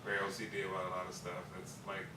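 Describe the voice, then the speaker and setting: A man talks casually in a script discussion. His voice is a bit low. It is captured at a distance with echo and very loud noise. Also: completely flat delivery, very fast. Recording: echoey room, distant mic, loud noise.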